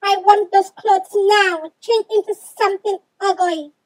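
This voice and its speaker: A young female performer voicing a bossy, two-faced babysitter in a children's game roleplay, with a deliberate, commanding manner. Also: extremely high pitch, steady tone, voice pushed up. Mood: mean, bossy. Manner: slow, insulting, commanding. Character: evil babysitter, sugary at first, bossy bully.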